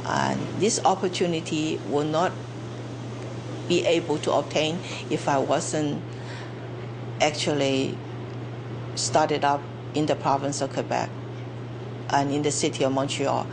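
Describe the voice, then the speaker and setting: An older woman from China speaks in a low, unhurried voice as she tells her story reflectively. A steady hum of background noise sits under the recording. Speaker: business owner in a testimonial. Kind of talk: interview testimony.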